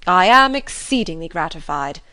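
A woman voicing a good-natured, easygoing young gentleman who talks in a lively, cheerful way. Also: lowish voice, normal speed, no echo.

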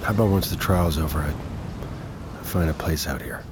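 A man playing an affectionate, teasing middle-aged father in a naturalistic audio drama, halting and playful. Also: extremely low pitch, noisy background. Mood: gentle.

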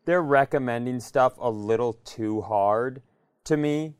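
A man's voice: medium pitch, measured pace, clear, resonant tone, no room sound. A man co-hosting a podcast, telling stories casually with wry exaggeration. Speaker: podcast co-host. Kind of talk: casual comedic storytelling.

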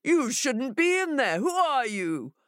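Woman putting on a blustering, high-pitched bossy jackal. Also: very animated, takes it slowly, dry recording, studio quality. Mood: commanding. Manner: emphatic, commanding. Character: blustering, bossy, easily huffed male jackal.

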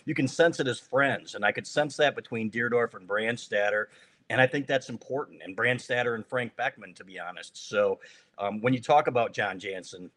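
A man's voice: mid-range voice, normal speed, fairly bright tone. A man hosting a sports podcast in casual chat, with a teasing, joking manner. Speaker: podcast host.

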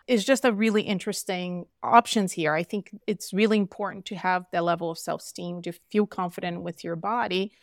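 A woman's voice: on the low side, steady tone, a little fast, hardly any pauses, no room sound, studio quality. A middle-aged woman from Brazil, a physician guest speaking earnestly and emphatically in conversation, with frequent fillers. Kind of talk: conversational interview.

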